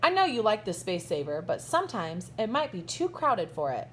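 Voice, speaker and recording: Woman reading as a patient, instructive dad, animated. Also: medium pitch, moderate pace, slight background noise. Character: patient, reasoning father coaching his young son.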